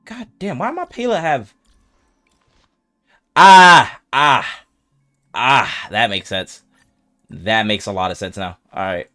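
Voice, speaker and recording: A man's voice: mid-range voice, expressive, takes it slowly, pauses often, sharp tone, soft background music. A man doing casual gameplay commentary as a streamer, informal and slangy, thinking aloud as he plays. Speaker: video game streamer.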